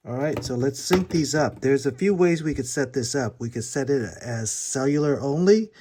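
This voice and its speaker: A man's voice: mid-range voice, lively intonation, moderate pace, noisy background. A man giving a casual, hands-on gear demonstration, informal and a little hesitant as he thinks aloud. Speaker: gear reviewer. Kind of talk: casual unboxing and setup demonstration.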